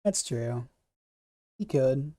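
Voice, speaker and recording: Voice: medium pitch, expressive, very slow, dark tone, dry recording, studio quality. A host talking casually through theories on a discussion stream, hesitantly repeating words while thinking aloud. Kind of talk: casual theory chat, responding to live comments.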